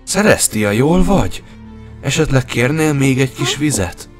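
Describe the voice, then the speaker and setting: Man playing a heartfelt young village man, lively intonation. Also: mid-range voice, soft background music, lightly acted. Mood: caring. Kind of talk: animated fairy tale dub.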